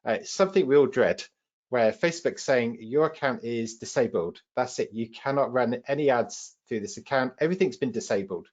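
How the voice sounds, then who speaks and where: A British man leads a live webinar as its trainer, speaking at a medium pitch. His delivery is informal and explanatory, like a practical presentation to learners. The audio is a little dull and lo-fi. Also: lively intonation, moderate pace. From United Kingdom.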